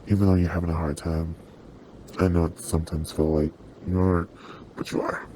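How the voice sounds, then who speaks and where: Performer as kindly male-sounding demon for ASMR roleplay: very low, soft-toned, apologetic, reassuring, processed through a voice changer. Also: level tone, a little fast, a little hiss or hum. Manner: soothing, reassuring, conversational. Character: gentle, apologetic sleep paralysis demon comforting a human.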